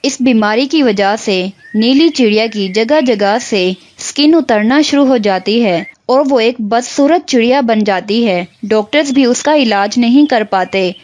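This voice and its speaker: High-voiced woman narrating a children's story. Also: measured pace, few pauses, darkish tone.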